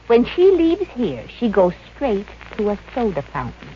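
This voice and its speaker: A woman's voice: mid-range voice, huge pitch swings, a little slow. An actress playing a good-natured, wisecracking working woman in a 1950s radio comedy, with a wry, breezy manner. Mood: wry, sheepish. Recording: muffled, noisy old radio recording.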